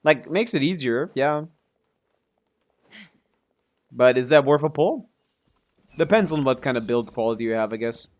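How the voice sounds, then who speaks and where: A man's voice: medium pitch, lively intonation, unhurried, pauses often. A male streamer with a mid-range voice talks casually over his gameplay. He chats as if replying to viewers, often trailing off or hedging as he works through a thought. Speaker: video game streamer. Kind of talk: casual livestream gaming commentary and chat.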